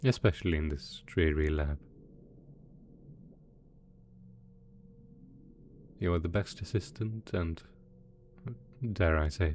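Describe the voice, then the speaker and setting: A man's voice: on the low side, darkish tone. A man voicing a melodramatic, possessive mad scientist supervillain boss in a roleplay audio drama, smug and coaxing in manner. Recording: faint background noise.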